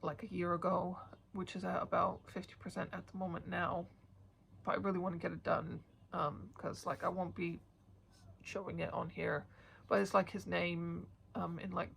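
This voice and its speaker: A woman's voice: fairly low pitch, gravelly, a bit bright, a little hiss or hum. A woman vlogging about her stitching in a rambling casual chat, sniffly and stuffed up, with many hesitant fillers.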